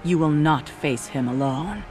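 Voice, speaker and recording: Low-voiced, commanding superhero officer. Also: female voice, measured pace, darkish tone, soft background music. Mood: earnest concern. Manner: authoritative, earnest. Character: firm, commanding military superhero woman. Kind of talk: dramatic video game acting.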